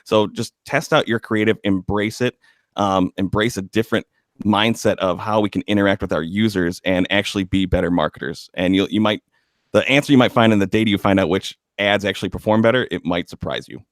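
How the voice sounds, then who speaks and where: Man, low voice, measured guest, dry close sound. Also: dry recording. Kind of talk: casual explanation.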